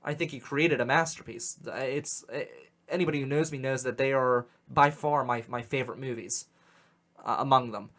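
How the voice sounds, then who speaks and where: A young man with a Western Pennsylvania accent hosting a casual radio talk show, animated and opinionated, often repeating words as he gets going. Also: medium pitch, normal speed. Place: radio studio. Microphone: studio microphone.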